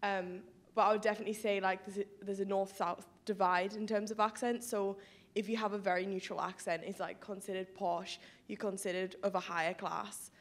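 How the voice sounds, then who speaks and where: A woman's voice: medium pitch, flat delivery, a little fast. A young woman from England, speaking casually from the audience with many "like"s and "um"s. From northern England. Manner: casual, uses "like" and "um" a lot. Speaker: audience member.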